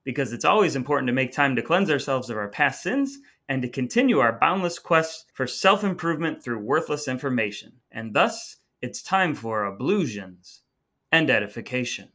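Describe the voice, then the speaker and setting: A man's voice: medium pitch, expressive, normal speed, bright, forceful tone. A man hosting a podcast in a scripted yet conversational style, with playfully grandiose, wordy phrasing. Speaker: podcast host.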